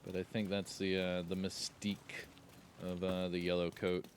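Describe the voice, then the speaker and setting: A man giving casual, joking gameplay commentary with a slightly raspy voice, relaxed but breaking into excited outbursts. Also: deep voice, moderate pace, slight background noise.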